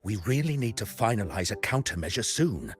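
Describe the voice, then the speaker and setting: A male professor from an anime-style game with a deep voice and lively intonation. He sounds grave, measured and authoritative, carrying a heavy responsibility. Music plays clearly behind him. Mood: urgent, grave. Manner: serious, measured.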